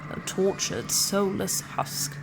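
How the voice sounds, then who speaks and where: A woman playing a grave, blunt alien healer in a science fiction audio drama, speaking in a solemn, deliberate manner. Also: mid-range voice, noisy background.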